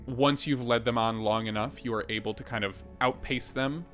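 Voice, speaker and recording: A man narrating as game master on a tabletop podcast, describing scenes in a halting, conversational way and calling for rolls. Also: mid-range voice, fairly even intonation, a bit bright, soft background music. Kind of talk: improvised game narration and rules talk.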